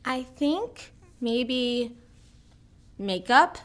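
An actress playing a chatty, eccentric teenage girl student who gives hesitant, drawn-out answers. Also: on the high side, expressive. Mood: eager.

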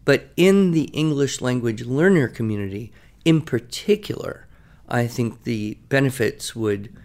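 A man's voice: medium pitch, animated, a little slow, a little mellow. A man co-hosting a podcast, telling stories from teaching in a reflective, conversational way. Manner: storytelling, reflective. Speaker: podcast co-host. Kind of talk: casual podcast discussion.

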